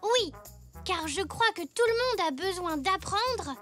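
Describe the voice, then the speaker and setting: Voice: very high-pitched, animated, a little slow, fairly bright tone, faint music behind, exaggerated voice. A performer voicing an eager, earnest little zebra child in a French cartoon, with an enthusiastic, childlike delivery. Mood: earnest, confident.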